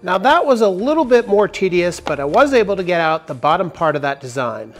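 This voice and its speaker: A man's voice: high voice, sing-song, brisk, soft background music. A man in his forties, a woodworking host, narrating a step-by-step tutorial in an upbeat, emphatic way in a large workshop on a lapel mic. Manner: upbeat, emphatic sentence openers. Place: large workshop with wooden walls.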